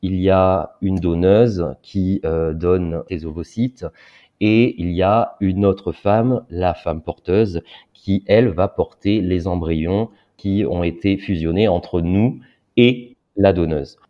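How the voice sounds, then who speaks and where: Very deep-voiced hesitant man. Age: 30s. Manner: hesitant, many filler pauses. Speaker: guest. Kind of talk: personal interview talk. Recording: dull lo-fi sound.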